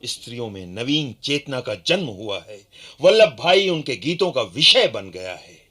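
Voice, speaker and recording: Banke Bihari, animated bright-voiced male storyteller. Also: mid-range voice, normal speed. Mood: stirred. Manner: animated, emphatic, declamatory when quoting. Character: Banke Bihari, earnest Indian freedom-era traveller recounting peasant uprisings. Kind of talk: Hindi radio play dialogue, dramatic storytelling.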